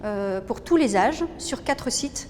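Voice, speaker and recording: A woman explaining in an interview, pausing often with hesitant ums as she presents her work. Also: expressive. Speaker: music school representative. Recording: very reverberant room, faint rumbling noise.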